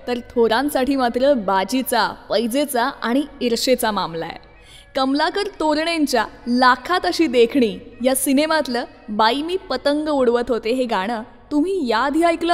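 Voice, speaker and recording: A woman presenting a music radio show, introducing songs in a chatty, expressive storytelling way and speaking directly to listeners. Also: high voice, big-room sound.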